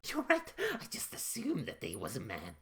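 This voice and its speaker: A man's voice: medium pitch, wildly swooping pitch, moderate pace, fairly bright tone. A man voicing an anxious, bookish, earnest male character in a dramatic, urgent amateur story reading.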